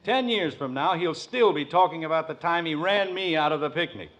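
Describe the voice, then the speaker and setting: A man's voice: on the high side, brisk, close to an everyday voice. An American man acting a proud, exhausted middle-aged suburban father in a 1950s radio sitcom, complaining with dry exaggeration. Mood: groaning, grumbling. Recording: dull old radio recording, loud audience.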